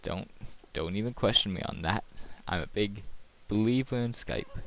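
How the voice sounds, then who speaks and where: A man's voice: on the low side, normal speed, a little hiss or hum. A man giving casual gameplay commentary as a video host, rambling and thinking aloud.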